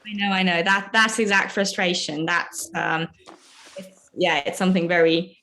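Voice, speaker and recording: A woman's voice: fairly low pitch, steady tone, normal speed, sharp tone. A young woman from Hungary, a dancer and choreographer, talking casually as a podcast guest and often searching for words with fillers. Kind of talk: casual interview chat.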